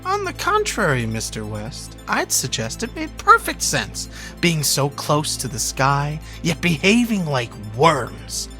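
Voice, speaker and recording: Formal interrogator with swooping intonation. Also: male voice, on the high side, huge pitch swings, pushed and bright, exaggerated voice. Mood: musing, sly. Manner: formal, measured, florid. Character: composed, eloquent, eerily poetic interviewer questioning a policeman. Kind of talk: noir video game cutscene acting. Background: faint music.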